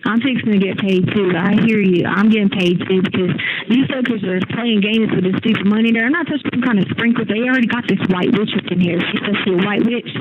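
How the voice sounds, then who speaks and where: A woman's voice: mid-range voice, fast talker. Rambling woman on a tinny, noisy phone. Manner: slangy, cursing, rambling and agitated. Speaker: jail inmate on a phone call. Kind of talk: casual rambling phone chat. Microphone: telephone handset.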